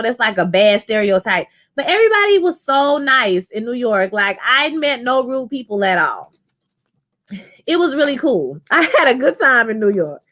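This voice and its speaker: A woman in her thirties from Louisiana with a New Orleans accent, chatting casually with her live-chat viewers while reviewing a TV episode. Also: on the high side. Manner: chatty, animated, talks to chat as "y'all". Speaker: show reviewer live-streaming.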